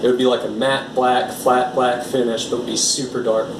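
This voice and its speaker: American man, relaxed rambly vlogger, off-mic in a reverberant room, steady AC hum behind. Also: mid-range voice, moderate pace, distant mic. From United States. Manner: rambling, filler-heavy, easygoing. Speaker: art vlogger. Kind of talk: casual unboxing chat.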